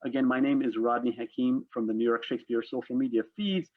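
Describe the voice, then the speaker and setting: A man's voice: medium pitch, fairly even intonation, fast. A man hosting an online panel discussion in semi-formal talk, over a video call. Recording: thin phone-like video call audio.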